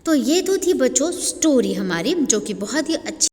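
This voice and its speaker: Indian lady teacher going thru a worksheet with kids, slows down to dictate english answers, long room echo. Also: high-pitched, big pitch swings, a little mellow. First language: Hindi. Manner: slow dictation when reading English answers aloud. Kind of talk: worksheet lesson for children.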